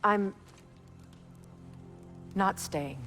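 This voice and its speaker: A woman voicing a proud, wounded and steadfast queen in a video game, measured and dramatic. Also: mid-range voice, a bit bright, soft background music. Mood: resolute.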